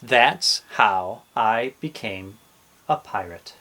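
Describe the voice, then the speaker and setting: Slow, very animated male storyteller. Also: fairly low pitch, a little hiss or hum, poor audio. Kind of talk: expressive children's story narration.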